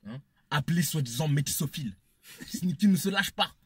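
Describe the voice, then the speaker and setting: A man's voice: a little high, lively intonation, fairly quick, breathy edge. A man, giving his opinion emphatically in a casual debate and repeating phrases for effect. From Congolese descent. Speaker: panel speaker.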